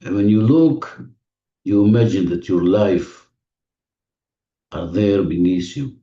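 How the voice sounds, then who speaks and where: A man leading a guided visualization in non-native English phrasing, giving gentle, repetitive instructions with deliberate pauses, recorded muffled and distant in an echoey room. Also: low voice, expressive. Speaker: guided meditation leader. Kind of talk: guided visualization, spoken slowly to a listener.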